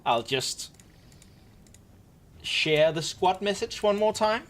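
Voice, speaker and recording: A man live-streaming, gently and casually explaining game mechanics to his squad with wry asides. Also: fairly high pitch. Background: faint keyboard typing and clicks.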